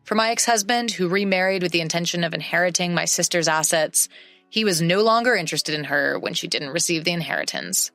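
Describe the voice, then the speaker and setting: Lowish, dry-voiced woman narrator. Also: fairly quick, no room sound, soft background music. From English-speaking country. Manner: steady, matter-of-fact reading. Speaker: story narrator. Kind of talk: first-person story narration.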